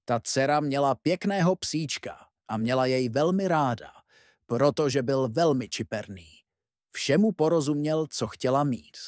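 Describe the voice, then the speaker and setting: A man's voice: medium pitch, darkish tone, no room sound, studio quality. A man narrating a fairy tale as a scripted storyteller.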